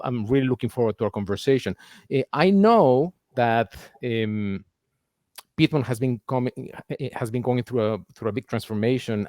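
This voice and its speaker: A man's voice: medium pitch, a little mellow, dry recording. Male host, close dry sound. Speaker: podcast host. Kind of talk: conversational interview.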